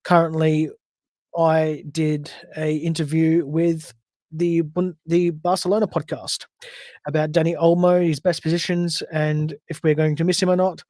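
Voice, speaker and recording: Aussie guy hosting a stream, kinda high voice, rambly, dry close sound. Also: little pitch movement, a little slow, a little mellow, no room sound. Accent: Australian. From Australia. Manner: chatty, rambling with self-corrections. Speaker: podcast host. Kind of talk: solo livestream chat.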